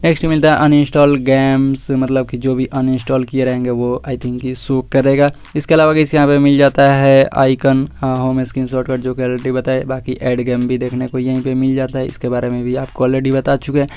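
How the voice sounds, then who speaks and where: A man's voice: mid-range voice, level tone, a little fast, few pauses, a little mellow, clean tone. An Indian man giving a casual phone tutorial as a tech video host, mixing in English words and addressing viewers as guys.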